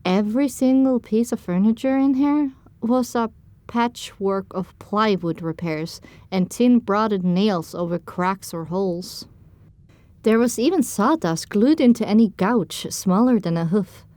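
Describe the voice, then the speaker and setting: A woman narrating as a young pony heroine, telling her own story in descriptive, expressive scripted audiobook style. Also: medium pitch, measured pace, no echo, lightly acted.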